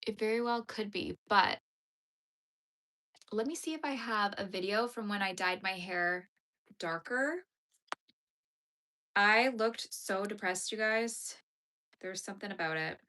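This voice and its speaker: A woman's voice: mid-range voice, unhurried, long pauses, pushed and bright. A young Canadian woman with a Canadian accent, chatting casually on a livestream, answering viewers' comments while she crochets.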